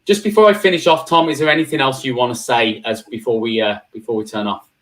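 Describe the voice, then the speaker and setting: A man's voice: medium pitch, steady tone, fast. A man hosting a live cybersecurity roundtable stream, chatty and full of fillers and restarts. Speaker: host.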